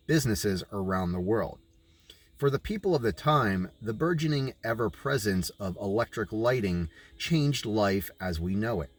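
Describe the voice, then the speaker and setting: A man reading a book aloud as a narrator, in a deliberate way with informal asides. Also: fairly low pitch, husky.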